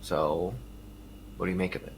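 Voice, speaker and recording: A man's voice: sits low, level tone, moderate pace, slight background noise, low-quality recording. An actor playing a probing police detective who questions witnesses and narrates his case. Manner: probing, matter-of-fact. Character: dogged male police detective, weary and probing. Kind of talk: audio drama acting with narration.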